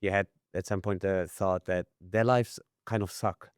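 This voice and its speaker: Male podcast host, smooth resonant voice, lowish pitch, measured questions. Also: steady tone, dry recording, clean studio sound. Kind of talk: interview questions.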